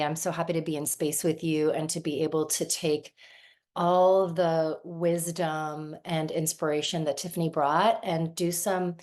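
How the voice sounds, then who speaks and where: A woman's voice: sits low, darkish tone. A woman in her forties, originally from Lebanon and raised in the United States, giving a thoughtful workshop talk as a somatic practitioner and often checking in with "right?".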